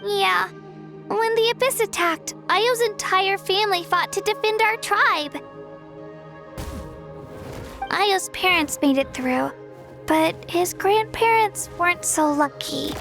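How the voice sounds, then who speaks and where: A woman voicing an earnest, caring young child villager in video game dialogue, soft-hearted and grateful. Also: extremely high pitch, measured pace, heavily acted. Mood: sad, concerned. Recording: background game music.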